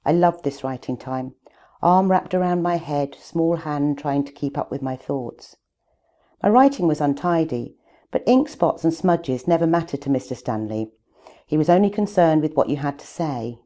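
An older woman from Wales, an author reading her own memoir aloud with wry, gentle humour. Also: low-pitched, expressive, moderate pace.

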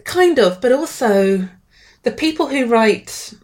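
A woman's voice: a little high, expressive, slow, darkish tone. A middle-aged woman writer in an interview, speaking with enthusiasm and often restarting or repeating words. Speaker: writer and guest. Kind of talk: conversational interview.

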